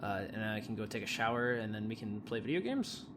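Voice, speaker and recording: Easygoing, awkward young man played by an actor, hesitant, varied intonation over clear ambient noise. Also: on the low side, lively intonation, fast talker. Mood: sheepish. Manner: hesitant, rambling. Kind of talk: naturalistic audio drama acting.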